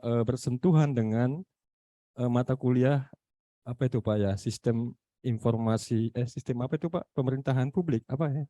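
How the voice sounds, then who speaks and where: Dark-voiced man with a Makassar accent. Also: medium pitch, no room sound, studio quality. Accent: Makassar Indonesian. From Makassar, South Sulawesi. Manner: halting, many fillers and searching for words. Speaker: university lecturer. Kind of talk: online meeting remarks. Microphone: video call.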